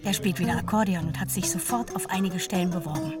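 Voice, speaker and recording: A woman's voice: fairly low pitch, fast, close to an everyday voice. An actress playing an earnest, proud middle-aged mother reading out a formally worded letter to her son in a radio drama. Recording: slightly distant, loud guitar music behind.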